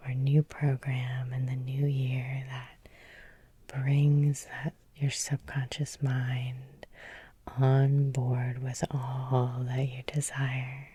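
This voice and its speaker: A woman leading an ASMR guided hypnosis in a breathy whisper, soothing and repetitive, with lingering pauses. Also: rumbling low, warm tone, no room sound. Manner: slow, soothing, repeating phrases with lingering pauses. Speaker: hypnotherapist and Reiki healer leading a guided meditation.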